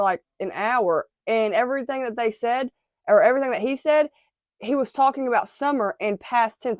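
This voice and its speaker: Woman vlogger, emphatic and congested, slightly high voice, dry close sound. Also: dry recording. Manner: emphatic, repeats phrases. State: congested after illness. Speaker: true-crime vlogger. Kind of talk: casual commentary.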